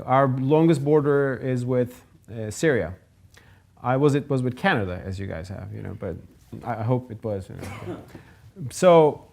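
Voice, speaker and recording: A man's voice: medium pitch, a little mellow. Turkish-accented man giving a forum talk. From Turkey. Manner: thoughtful, emphatic, with pauses and restarts. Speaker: guest speaker. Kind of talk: public forum talk and answers. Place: lecture hall forum.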